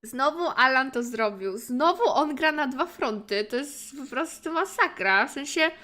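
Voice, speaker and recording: A Polish woman streams a game with casual running commentary. Her voice is high and bright. She talks in a chatty, informal, playful way, as if to viewers live. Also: expressive, normal speed.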